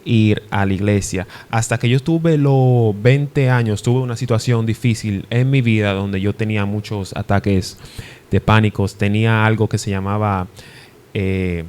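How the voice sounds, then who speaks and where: A man's voice: fairly low pitch, unhurried, darkish tone. A young man from the Dominican Republic, a guest preacher giving his testimony in an interview, earnest and hesitant with restarts. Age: young adult.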